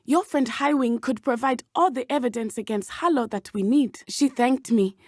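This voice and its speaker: A woman's voice: fairly high pitch, a little mellow, no echo, lightly acted. Woman playing a brave teen witch girl from a video game, earnest n formal, careful wording, super dry close sound. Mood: serious, determined. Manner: formal, measured, avoids contractions. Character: brave, earnest teenage witch schoolgirl, loyal and determined. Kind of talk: scripted game companion dialogue.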